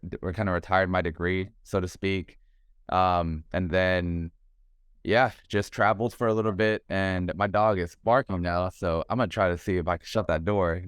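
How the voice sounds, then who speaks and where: Deep-voiced young man in easy chat. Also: clean tone, no echo, studio quality. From Thailand. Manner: relaxed, talkative, calls the host bro and man. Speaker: podcast guest, realtor. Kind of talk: casual interview chat. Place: home. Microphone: video call.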